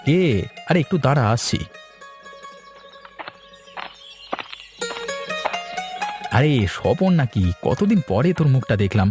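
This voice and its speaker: A man's voice: mid-range voice, very animated, a little fast, darkish tone, soft background music, natural voice. A man playing a busy, kindly village farmer in a cartoon, explaining things in an avuncular way. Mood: genial. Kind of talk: cartoon dialogue acting.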